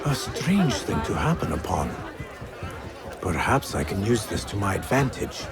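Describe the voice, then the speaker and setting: A man playing an earnest, determined young assassin apprentice in a video game, speaking in a formal, measured, restrained way. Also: on the low side, sing-song, over loud music, a loud crowd. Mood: pensive, restrained. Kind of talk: dramatic video game acting.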